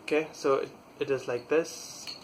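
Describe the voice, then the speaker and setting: A man gives a casual hands-on demonstration as a product reviewer. His pace is slow and halting, with pauses mid-sentence while he works. His voice is medium in pitch, with noise audible behind it. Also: fairly even intonation. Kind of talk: casual hands-on tutorial talk.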